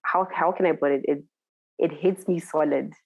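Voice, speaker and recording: A woman's voice: low-pitched, fairly even intonation, moderate pace, a little mellow, no echo, low-quality recording. A woman DJ with a Zimbabwean accent, talking casually in an interview, thoughtful and searching for words. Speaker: DJ guest.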